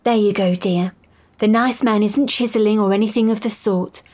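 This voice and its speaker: A man voicing a genteel, sweetly patronising woman with a gentle, placating manner. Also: high-pitched, steady tone, moderate pace, pitched above the performer's own voice, lightly acted.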